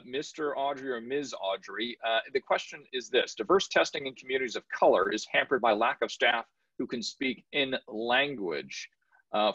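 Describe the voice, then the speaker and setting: A middle-aged man moderating a video panel from a home office, brisk and urging speakers to keep it quick. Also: medium pitch, a bit bright, no echo. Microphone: video call. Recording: dull lo-fi video call audio.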